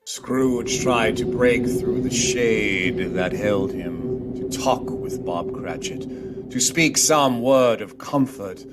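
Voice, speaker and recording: A man playing the solemn narrator of a ghost tale in a radio play, grave and deliberate. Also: mid-range voice, loud music behind the voice, close to an everyday voice. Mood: grave, dramatic. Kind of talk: dramatic scripted narration.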